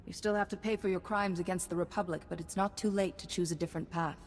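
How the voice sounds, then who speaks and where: An actress playing a calm, self-assured female Jedi hero in a video game. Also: fairly low pitch, quick pace, slight background noise, lightly acted. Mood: steady. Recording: game audio with long reverb.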